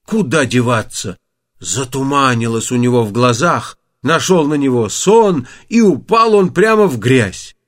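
Animated male fairy-tale storyteller. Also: medium pitch, unhurried. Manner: theatrical, folksy storytelling. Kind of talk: expressive fairy-tale audiobook narration.

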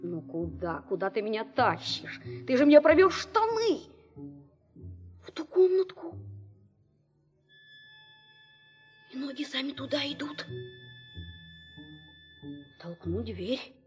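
High-voiced, earnest little boy. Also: very animated, measured pace, long pauses, darkish tone, faint music behind. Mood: curious, hushed. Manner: theatrical, earnest, thinking aloud. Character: small naive good-hearted boy, plucky and earnest. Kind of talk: audio fairy tale acting.

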